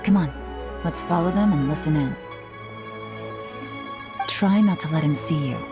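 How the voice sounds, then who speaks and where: A cool, commanding woman agent gives orders in a low, composed voice. She sounds dry and in control. Game music plays clearly behind her. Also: unhurried. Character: cool, commanding female intelligence officer. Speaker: investigator character. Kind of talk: video game voice acting. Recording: game music bed under the voice.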